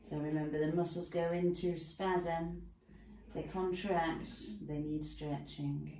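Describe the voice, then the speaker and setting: Mellow-voiced woman coaching stretches. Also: low voice, a little hiss or hum. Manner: chatty, talks through the stretches with asides. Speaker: stretching class instructor. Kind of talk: guided exercise instruction. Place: room at home with a dog nearby. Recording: echoey room, mic far off.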